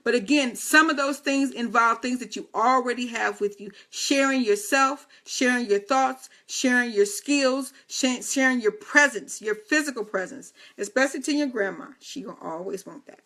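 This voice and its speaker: A woman's voice: a little high, normal speed, hardly any pauses, pushed and bright. A woman in her fifties hosting a casual live video talk, speaking straight to viewers with playful, teasing asides. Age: 50s. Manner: playful, teasing asides and chatty direct address.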